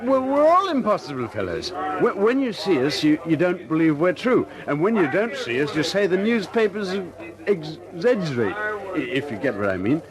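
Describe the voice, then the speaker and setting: A frank, comradely RAF airman who likes his drink. His pitch swings widely in the manner of old radio drama. A crowd murmurs clearly behind him on the old recording. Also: very animated, normal speed, rough recording. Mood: tipsy, jovial.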